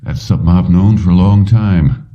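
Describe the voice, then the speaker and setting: A man's voice: deep voice, steady tone, normal speed, voice pushed up, lightly acted. A man voicing an older male company boss in a story, strained and wary, on a dull recording. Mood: weary. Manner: tense, pressed delivery. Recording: muffled, distant-sounding dull recording.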